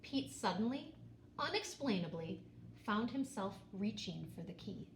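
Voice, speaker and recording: A woman reads a children's picture book aloud in an expressive, dramatic way, pausing and stressing key words. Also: mid-range voice, creaky. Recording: roomy echo, faint background noise.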